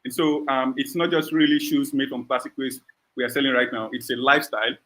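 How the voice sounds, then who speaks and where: Bright-voiced man pitching his startup. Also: fairly even intonation, fast talker, poor audio. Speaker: startup founder answering judges. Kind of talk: pitch question-and-answer, unscripted. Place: online video call.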